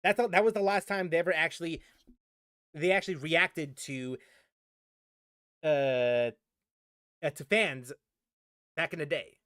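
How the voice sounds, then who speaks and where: A man's voice: on the high side, a little slow, pauses often, fairly bright tone, no echo, studio quality. A man streaming a game and ranting casually, rambling with many restarts and fillers. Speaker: gaming streamer.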